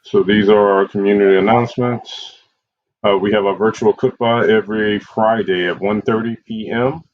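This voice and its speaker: A man's voice: on the low side, dry recording. A man hosting an online community program and reading out announcements over a video call. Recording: dull, lo-fi video call audio.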